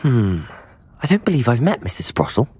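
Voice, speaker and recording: An actor playing a polite, composed young student wizard in a video game, speaking with a British accent and courteous restraint. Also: male voice, medium pitch, very animated, fairly quick, a little mellow, husky, no echo.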